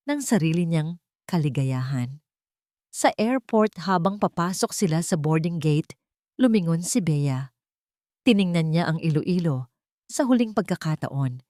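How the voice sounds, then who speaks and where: A woman's voice: lowish voice, a little mellow, no echo, studio quality. A woman narrating a scripted, dramatic love story as a storyteller.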